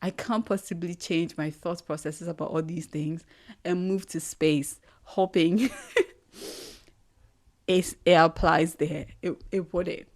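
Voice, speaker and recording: Ghanaian woman, young adult, low voice, earnest reflective podcast host. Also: fairly even intonation. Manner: thoughtful, earnest, pauses to search for words. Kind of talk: reflective solo podcast monologue.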